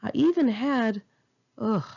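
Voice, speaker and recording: A woman's voice: on the high side, animated, takes it slowly, gravelly, a little mellow, husky, highs cut off. A woman reading her own memoir aloud in a candid, informal, wry conversational style. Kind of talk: conversational memoir narration, read in one take.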